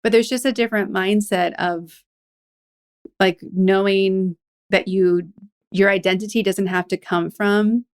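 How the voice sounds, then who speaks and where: A woman hosting a podcast interview, talking in a chatty, animated way. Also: medium pitch. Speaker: podcast host. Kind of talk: conversational interview.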